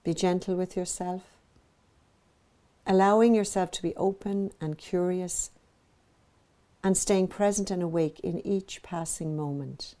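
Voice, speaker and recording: A woman with a soft, very mellow voice, set a little low for a woman, leads a guided meditation. She speaks as a teacher, gently and evenly measured. Her phrases are separated by soothing pauses. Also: steady tone.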